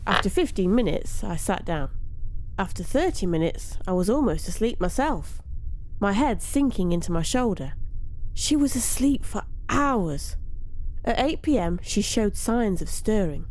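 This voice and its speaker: A woman reading a first-person story aloud in an expressive, theatrical storytelling manner. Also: medium pitch, a little slow, slight background noise, rough recording. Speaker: story narrator.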